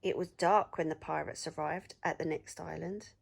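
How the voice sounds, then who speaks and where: A woman teacher reading a story aloud to children in an expressive storytelling style. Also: sits low, normal speed, vocal fry, breathy edge.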